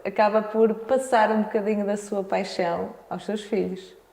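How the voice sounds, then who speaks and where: A woman's voice: mid-range voice, steady tone, moderate pace, creaky, a little mellow. A woman, interviewing and chiming in with short agreements. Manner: brief affirming interjections. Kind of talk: interview prompts. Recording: echoey room with long reverb.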